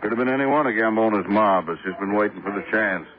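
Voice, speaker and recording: A man's voice: lowish voice, quick pace, natural voice. A man playing an easygoing, plain-spoken police lieutenant in a 1950s radio drama, talking in a casual, folksy way. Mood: conversational. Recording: narrow-band 1950s radio recording.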